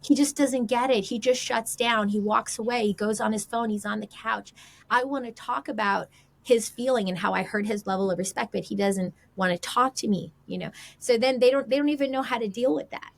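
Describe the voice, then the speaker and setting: A woman's voice: a little high, brisk, vocal fry. A woman giving conversational relationship advice as a podcast guest, explaining through example questions and quotes.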